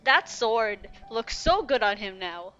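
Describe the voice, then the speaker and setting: A woman streaming a game with casual, expressive, sentimental reactions. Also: fairly high pitch, slow pace, lo-fi sound. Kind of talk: casual gameplay reaction chat. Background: game music.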